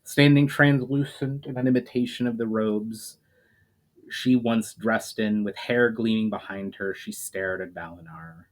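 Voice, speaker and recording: A man's voice: fairly low pitch, a little slow, rough recording. A man, an aspiring author, reading a chapter of his own fantasy novel aloud after a hesitant introduction full of fillers. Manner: hesitant intro with many fillers, steady storytelling read with small restarts. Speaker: self-published author.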